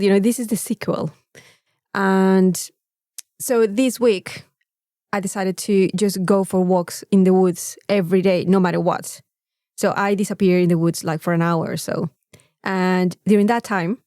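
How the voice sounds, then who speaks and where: A woman's voice: medium pitch, mellow, dry recording, studio quality. A woman with a Mexican accent, co-hosting a casual podcast chat in an informal, rambling, sweary way. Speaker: podcast co-host.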